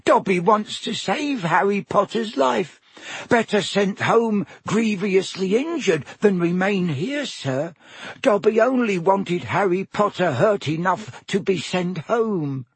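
A man voicing a timid, anxious male house elf servant who pleads in a high voice and calls everyone sir. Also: animated, slow, husky, dry recording, full character voice. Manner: servile, high-pitched pleading, many "sir"s.

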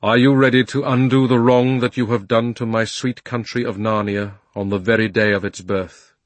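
A grave, majestic lion king speaks slowly and with authority, as a man puts him on. The delivery is measured and commanding, projected more loudly than plain narration, in a dry, close sound. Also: medium pitch, flat delivery, no room sound, lightly acted. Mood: solemn.

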